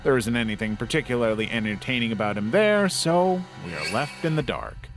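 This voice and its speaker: A man narrating a scripted documentary-style piece in a measured, serious way. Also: mid-range voice, lively intonation. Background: background music.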